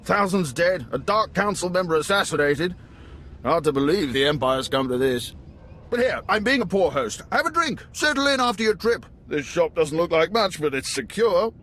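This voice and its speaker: An actor playing an older, seasoned station chief in a video game, unhurried and avuncular. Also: male voice, high-pitched, big pitch swings, fairly bright tone, a little hiss or hum. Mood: genial, weary. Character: seasoned older male Imperial intelligence station chief, genial, measured. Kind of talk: video game dialogue acting.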